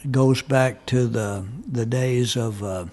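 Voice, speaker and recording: An older man, reminiscing casually and haltingly as he searches for names. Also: mid-range voice, steady tone, a little mellow. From Southern US, Tennessee and Kentucky. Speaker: guest country singer and storyteller.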